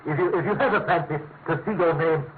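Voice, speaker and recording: An actor playing a pompous, fussy middle-aged government official with a British accent, halting and emphatic, in classic radio mystery style. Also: male voice, a little high, natural voice. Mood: fussy, persuasive. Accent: British English. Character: pompous, fussy male senior government official, gravely insistent. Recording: tinny, narrow-band radio recording with hiss.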